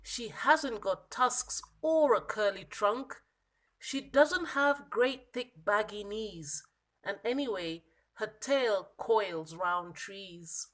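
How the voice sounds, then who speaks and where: A woman voicing a small, lost young monkey from a children's storybook, plaintive and exasperated, with emphatic, drawn-out protests. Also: medium pitch, fairly bright tone. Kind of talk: children's storybook character reading.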